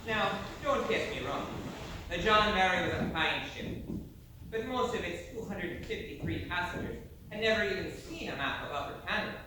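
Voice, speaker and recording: A man's voice: high-pitched, lively intonation. An actor playing a hearty former navy sailor, telling his tale to an audience in a folksy, theatrical monologue. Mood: wry, confiding. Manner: theatrical, folksy, dramatic pauses. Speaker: stage actor. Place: theatre stage. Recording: echoey stage from far off, faint noise.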